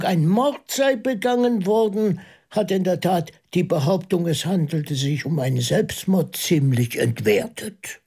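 Priest sleuth in a radio play, really slow, husky, thinking out loud, methodical and intense. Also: male voice, a little high, exaggerated voice. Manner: musing, halting, emphatic, rhetorical questions. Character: pondering priest detective, methodical, intense, reasoning aloud. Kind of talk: German radio play dialogue, deliberate monologue.

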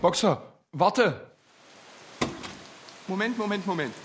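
A disillusioned young worker in a radio play. His blunt, frustrated voice sits fairly high against clear rain and traffic noise. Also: lively intonation, slow. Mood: urgent, frustrated. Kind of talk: naturalistic German radio drama acting.

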